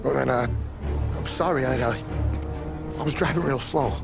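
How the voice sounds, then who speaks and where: An actor playing a panicked young mob driver who stammers and pleads in a game cutscene. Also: male voice, mid-range voice, normal speed, creaky, natural voice. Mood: pleading. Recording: game cutscene with loud music.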